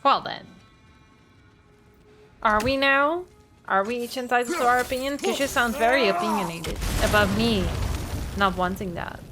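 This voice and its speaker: Lady streamer chatting with chat, playful, slightly high voice. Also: moderate pace, pauses often, bright, forceful tone, soft background music. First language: Dutch. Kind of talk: live gameplay commentary.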